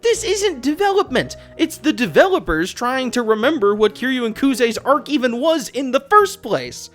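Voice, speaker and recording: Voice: high-pitched, sing-song, moderate pace, fairly bright tone, faint music behind. A video essayist giving sarcastic, exasperated, opinionated commentary on a video game. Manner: sarcastic, exasperated, swearing rant. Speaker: video essayist, channel host.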